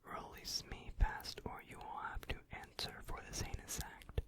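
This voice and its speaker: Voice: normal speed, close to an everyday voice. Someone whispering as an indignant old Santa. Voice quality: whispered, unvoiced. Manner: pleading, indignant. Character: stern old man Santa, indignant captive. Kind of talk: whispered song cover, ASMR.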